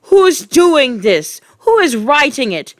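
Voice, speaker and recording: A woman voicing a fierce, bullying headmistress in a storybook, barking in a loud, domineering, contemptuous way. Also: mid-range voice, lively intonation, slow pace, no echo, studio quality. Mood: alarmed yelling.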